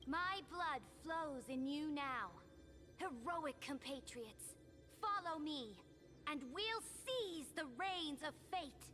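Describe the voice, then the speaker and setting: A woman voicing a commanding warrior queen who rallies her people in a rousing, declamatory way, over loud game music. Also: sits high, lively intonation, takes it slowly, heavily acted.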